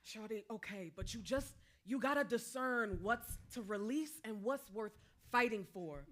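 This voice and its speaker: A woman's voice: fairly high pitch, measured pace, fairly bright tone. A woman in a staged play reading, playing a wise, streetwise mentor who probes and admonishes in slangy, insistent speech. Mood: urging.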